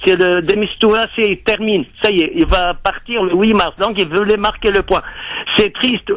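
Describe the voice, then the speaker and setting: A man from Lebanon calling in to a radio show, talking in a halting, rambling way. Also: sits high, fairly bright tone, no echo, rough recording. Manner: rambling, halting, heavy pauses. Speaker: radio caller. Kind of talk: call-in radio talk.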